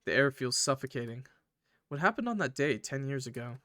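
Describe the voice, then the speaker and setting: A man's voice: medium pitch, lively intonation, a little fast, bright, forceful tone, dry recording, clean studio sound. A man hosting a gaming video, reading visual novel narration aloud and adding informal, crude joking asides.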